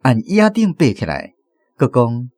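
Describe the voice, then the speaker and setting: Animated male storyteller, Taiwanese accent. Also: mid-range voice, moderate pace, no room sound. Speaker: narrator. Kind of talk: dramatised story narration.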